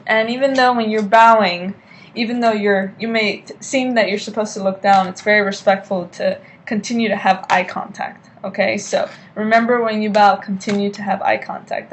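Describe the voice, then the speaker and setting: A woman's voice: medium pitch, a little hiss or hum, low-quality recording. A young woman giving an informal class speech into a laptop webcam in a hotel room, often checking in with "okay?". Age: young adult. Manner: chatty, keeps checking in with "okay?". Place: hotel room at night, lamp-lit. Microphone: laptop webcam at desk.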